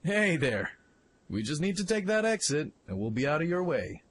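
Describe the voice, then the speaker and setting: A man acting a brash, excitable young mech pilot in a fan radio play. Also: fairly high pitch, normal speed. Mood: brash, irritated. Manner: brash, cocky, exclamatory. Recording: clearly noisy background ambience.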